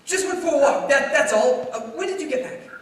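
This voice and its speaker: An echoey, distant stage recording of a radio play with faint noise. A restless, awkward young small-town man played by a woman talks excitably and stammers, with a highish, very lively pitch. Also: brisk. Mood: flustered.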